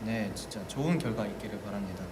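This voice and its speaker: A young man in a casual live-stream chat, reading fan comments and playful, often jumping up in pitch when excited. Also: fast. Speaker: idol group member hosting a live stream. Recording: echoey room with steady ambient noise.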